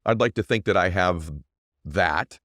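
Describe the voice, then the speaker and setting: A middle-aged man with a deep voice, hosting a show and giving an emphatic motivational monologue that often asks "right?". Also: measured pace, a bit bright, no room sound, clean studio sound. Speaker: podcast host.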